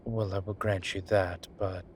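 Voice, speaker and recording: A man playing a wry, laid-back incubus demon professor in a roleplay audio drama, talking with breathy pauses and trailing sentences. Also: low voice, flat delivery, a little mellow, slight background noise, close to an everyday voice. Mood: amused, teasing. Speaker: voice actor. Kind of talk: roleplay audio drama, one side of a conversation.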